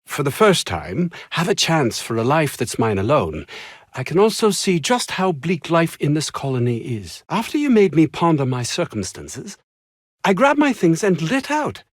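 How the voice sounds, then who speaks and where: An actor playing a haughty, anxious, downtrodden scientist in a video game, husky and speaking in formal, wordy, theatrical phrases. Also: male voice, on the high side, very animated, moderate pace, no echo, studio quality. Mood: reflective. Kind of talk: video game character dialogue.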